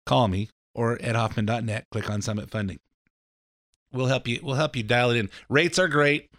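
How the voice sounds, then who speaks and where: A man's voice: mid-range voice, steady tone, moderate pace, fairly bright tone, dry recording, clean studio sound. A middle-aged man hosting a talk radio show with a slightly scratchy voice, rambling in a folksy way with fillers and restarts between ads for his mortgage business. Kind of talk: casual political talk radio monologue.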